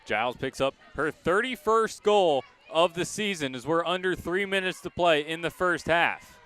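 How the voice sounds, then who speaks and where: A man calling live college lacrosse as a radio play-by-play announcer, in crisp short present-tense phrases, outdoors at a sports field. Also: a little high, moderate pace, fairly bright tone.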